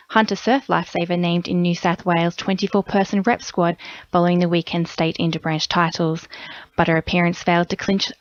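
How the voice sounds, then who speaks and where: A woman's voice: medium pitch, no echo, muffled recording. An Australian woman reading a scripted sports bulletin for radio news. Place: dry radio studio.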